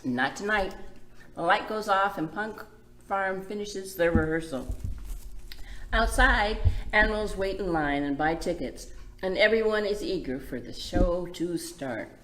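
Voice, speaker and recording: Slow, lively woman hosting children's storytime. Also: mid-range voice. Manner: simple, demonstrative phrasing for small children. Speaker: children's librarian, storytime host. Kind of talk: children's storytime presenting and read-aloud. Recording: echoey room.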